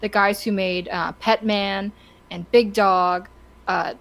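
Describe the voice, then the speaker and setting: A woman co-hosting a podcast, talking casually and with opinions in a halting, stop-start way as she searches for words. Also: mid-range voice, fairly even intonation, vocal fry, a bit bright. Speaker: podcast co-host.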